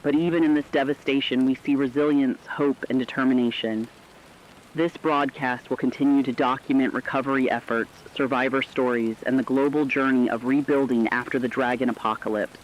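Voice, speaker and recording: A man acts as an earnest news co-anchor in an apocalyptic radio drama. He has a mid-pitched voice and a grave, measured delivery, heard through dull lo-fi sound with faint rain noise behind. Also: fairly even intonation, lightly acted. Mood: earnest hope. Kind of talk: scripted live radio news narration.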